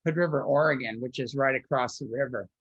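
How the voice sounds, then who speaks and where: A man tells long personal stories as an interview guest, circling back on himself and restarting his sentences. His voice sits at an ordinary pitch. The recording is dull and lo-fi, with no room echo. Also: brisk, a bit bright, husky. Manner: rambling, with frequent restarts and filler words.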